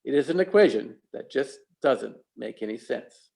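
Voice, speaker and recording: A man delivering a reflective sermon as a preacher, speaking deliberately and pausing for emphasis. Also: medium pitch, lively intonation, creaky, a little mellow.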